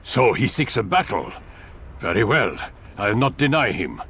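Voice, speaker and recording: An actor playing a proud older master of assassins in a video game, speaking with measured, theatrical authority. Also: male voice, fairly low pitch, very animated, creaky, pushed and bright, breathy edge, full character voice. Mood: stern, commanding. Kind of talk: video game cutscene acting.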